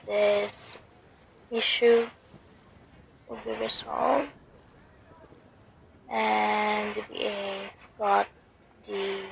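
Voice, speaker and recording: A woman from the Philippines, commenting softly over a game at home late at night, using a headphone mic, with lots of fillers. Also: vocal fry, a little hiss or hum, poor audio. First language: Tagalog. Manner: soft, lowered voice, slow, many fillers. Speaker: game streamer. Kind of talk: casual commentary over gameplay.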